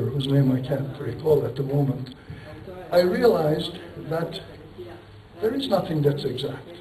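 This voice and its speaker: A man lectures to a conference audience, thinking aloud, apologizing for rambling and tossing out rhetorical questions. His voice sits fairly high for a man. It carries through a reverberant hall from some distance off the mic. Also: dark tone. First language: Turkish. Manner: rambling, self-deprecating, poses rhetorical questions, chuckles. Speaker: engineering professor giving a lecture. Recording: echoey hall, voice picked up from a distance.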